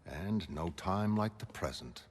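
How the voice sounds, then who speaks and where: A man performing a brilliant, self-assured mastermind in a motion comic, speaking in formal, measured, erudite monologue. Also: low voice, animated, a little hiss or hum. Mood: calm, courteous. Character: brilliant, aloof, self-assured male mastermind, soliloquizing to his pet.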